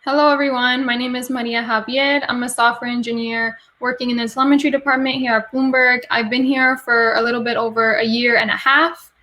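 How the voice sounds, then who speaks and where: A woman software engineer giving a brisk introduction on a video call panel. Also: a little high, flat delivery.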